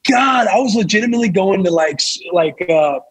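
A young man with a raspy voice, a former football player talking candidly as a podcast guest with lots of fillers. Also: sits high, lively intonation, brisk, poor audio. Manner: candid, laid-back storytelling with frequent fillers. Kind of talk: casual chat.

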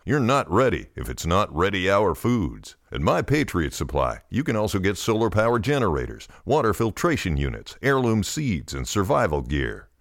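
A man's voice: lowish voice, no room sound, clean studio sound. A man reading a scripted, urgent advertisement as an announcer. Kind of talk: scripted urgent radio-style ad read.

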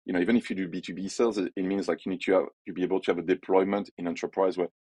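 French-accented man, low voice, opinionated. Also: steady tone, a little mellow, slightly rough, no echo. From France. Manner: opinionated, quick, peppered with "like" and "you know". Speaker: podcast guest, head of growth. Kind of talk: casual business conversation.

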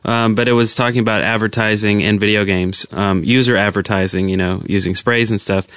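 A man's voice: lowish voice, fairly even intonation, brisk, fairly bright tone, dry recording. A man co-hosting a podcast, chatting casually about video games. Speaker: podcast co-host. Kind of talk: casual gaming podcast chat.

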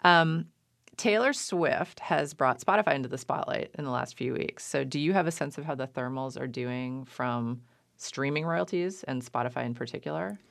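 A woman hosting a radio show about music, reading a scripted intro and then asking interview questions in a measured, conversational way. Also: a bass voice, creaky, dry recording, clean studio sound. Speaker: radio show host and record label owner.

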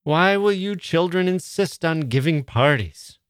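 A man reading aloud and voicing a tired, fussy upper-class mother who exclaims and gives brisk orders. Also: animated, smooth, no room sound, clean studio sound. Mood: weary. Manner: exclaiming, high-strung.

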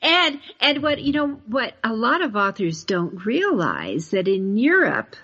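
A woman's voice: a little high, huge pitch swings, measured pace, pushed and bright, husky. A woman hosting a conversational interview, rambling a little as she thinks aloud. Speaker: podcast host. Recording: dull, lo-fi audio.

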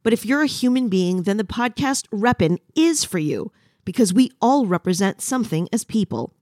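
A woman's voice: mid-range voice, animated, normal speed, a little mellow, no echo, studio quality. A woman podcast host reading a scripted promotional spot for a show.

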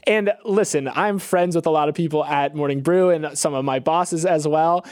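An American man talks through business news as a podcast co-host. His voice sits a little high and is recorded dry and close, without room sound. He is conversational and casual, leaning on key phrases for emphasis. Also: normal speed, darkish tone, no echo.

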